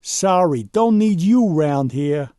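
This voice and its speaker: A man's voice: fairly high pitch, expressive, slow pace, mellow, dry recording, clean studio sound, voice pushed up. A man voicing a boastful dump truck, Donald. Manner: brash, bragging, rhyming sing-song. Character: boastful bossy male cartoon dump truck.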